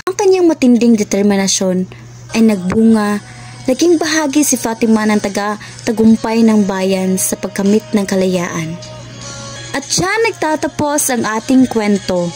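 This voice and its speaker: A woman's voice: medium pitch, lively intonation, a little slow, a little mellow, faint music behind. A woman narrating a scripted radio drama in a solemn, formal manner.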